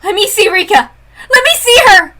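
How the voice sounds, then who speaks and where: A woman's voice: very high voice, animated, moderate pace, voice pushed up. A woman voicing a grief-stricken teenage girl who shrieks and sobs in a murderous rage. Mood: desperate. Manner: shrieking and sobbing.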